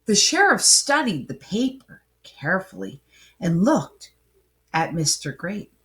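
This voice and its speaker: A woman reading a children's story aloud with dramatic, emphatic phrasing and pauses. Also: mid-range voice, very animated, fairly bright tone. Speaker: storybook reader. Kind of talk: expressive children's story narration, with asides to listeners.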